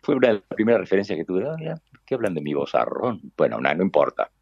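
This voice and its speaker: An older man from Argentina, a radio announcer with a deep booming voice and an Argentine Spanish accent, telling stories from his life in a relaxed conversation. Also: fast, creaky, breathy edge, dry recording, muffled recording. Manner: warm reminiscing, acts out quoted voices. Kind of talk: conversational storytelling.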